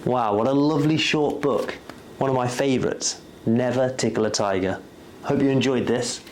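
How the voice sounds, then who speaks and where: A man narrating a bedtime story for young children expressively, with playful, deliberate emphasis on words. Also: medium pitch, darkish tone. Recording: faint background noise.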